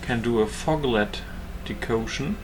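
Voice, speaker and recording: A man's voice: mid-range voice, measured pace, noisy background. A man giving casual gameplay commentary, thinking aloud as he hosts a gaming video. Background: game music.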